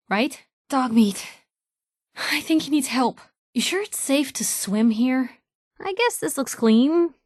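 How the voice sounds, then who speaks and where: A woman's voice: high-pitched, no room sound, studio quality, heavily acted. A woman voicing a feisty young female journalist, a wry, wisecracking companion in a video game. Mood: concerned, wry. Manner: wisecracking, sardonic, slangy. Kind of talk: video game voice acting, short combat and banter lines.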